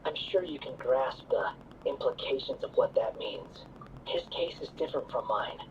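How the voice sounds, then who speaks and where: A man's voice: medium pitch, expressive, normal speed, far from the mic, a little hiss or hum, close to an everyday voice. Man playing an aloof, sardonic young scholar, muffled. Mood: grave. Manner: dry, curt, sardonic. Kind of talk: video game voice acting.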